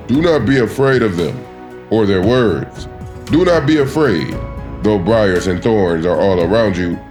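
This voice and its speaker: A man's voice: fairly low pitch, darkish tone. A man voicing God in a dramatized scripture reading, stern, solemn and commanding, with emphatic, declamatory delivery.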